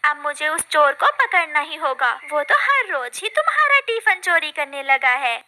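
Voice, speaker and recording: A clever, determined mother bird from a cartoon, firm in her speech, as a woman puts her on. Her voice is high and very bright. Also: very animated, normal speed, exaggerated voice. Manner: firm, resolute.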